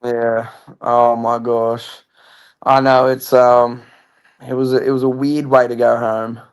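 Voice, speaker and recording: A young man with a loud voice, chatting upbeat as a guest in a casual podcast interview. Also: mid-range voice, little pitch movement, slow pace, clear, resonant tone. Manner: chatty, upbeat, says "I feel like" a lot. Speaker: reality show contestant, guest.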